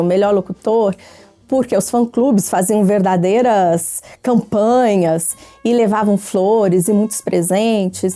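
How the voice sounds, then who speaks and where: A woman's voice: mid-range voice, warm tone. A woman with a Brazilian accent, talking conversationally as an interviewee.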